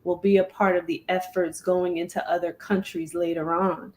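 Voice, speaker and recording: A woman's voice: lowish voice, flat delivery, normal speed, darkish tone. Woman talk show host. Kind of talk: casual talk show chat.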